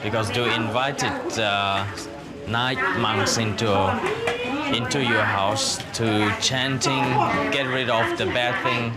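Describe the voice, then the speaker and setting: A man's voice: medium pitch, fairly even intonation, unhurried, loud music behind the voice. A man explaining a ceremony in simple, careful words. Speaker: interviewee.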